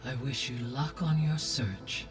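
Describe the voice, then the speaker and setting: A performer voicing a calm, formal artificial intelligence in a video game, speaking in a measured, precise way. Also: mid-range voice, recorded from a distance, over music, lightly acted. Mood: composed, analytical. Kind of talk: video game cutscene acting.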